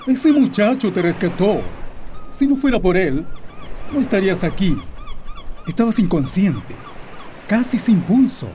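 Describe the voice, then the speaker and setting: A man's voice: sits high, big pitch swings, measured pace, pauses often, dark tone, a little hiss or hum. A man playing a stern, protective father in a radio drama. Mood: grave.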